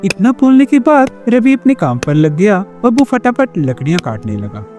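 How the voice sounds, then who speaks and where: A male storyteller reads scripted narration in a mellow tone. His pitch sits on the high side and moves expressively through each sentence. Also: big pitch swings, moderate pace, soft background music.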